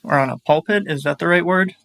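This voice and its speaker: A man's voice: a little high, quick pace, gravelly, fairly bright tone, dry recording, studio quality. A man who co-produces and edits a podcast, speaking in an easygoing way. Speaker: co-producer and editor. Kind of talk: casual chat.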